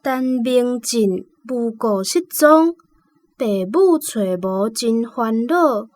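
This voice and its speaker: Halting, very slow underling reading aloud. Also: female voice, fairly high pitch, lively intonation, a little mellow. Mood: plodding, careful. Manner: halting read-aloud, word by word. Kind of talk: radio drama acting.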